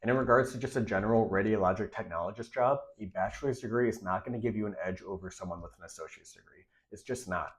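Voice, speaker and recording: A man's voice: lowish voice, quick pace, few pauses. A young man with a stuffy, nasal voice from a recent cold gives direct, emphatic career advice in a casual vlog. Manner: direct, emphatic, repeats key points. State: congested, nasal from a recent illness. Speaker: radiology tech creator giving career advice.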